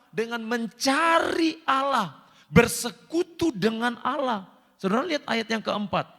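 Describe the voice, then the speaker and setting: High-voiced gravelly pastor preaching in a hall. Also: male voice, a bit bright. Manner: repeats phrases, addresses congregation directly, emphatic rises. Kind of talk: sermon. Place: church hall. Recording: long church-hall reverb.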